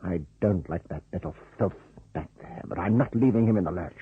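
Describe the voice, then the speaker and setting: An actor in an old radio drama playing a blunt, sardonic, indignant charter pilot. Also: male voice, low voice, a little fast, husky, no echo. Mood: hard, distrustful. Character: gruff, plain-spoken, indignant male charter pilot and major. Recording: muffled, narrow-band old radio recording.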